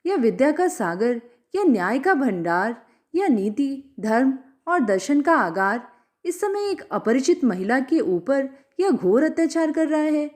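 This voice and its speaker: A woman reading a story aloud as an expressive scripted narration. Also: sits high, unhurried.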